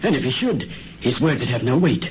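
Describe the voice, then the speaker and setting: Old radio romance, muffled recording, man as young suitor, impassioned, melodramatic, lively pitch. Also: fairly quick, slight background noise, close to an everyday voice. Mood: ardent, wooing. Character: ardent young Irish suitor, rich man's educated son. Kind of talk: 1930s romantic radio drama acting.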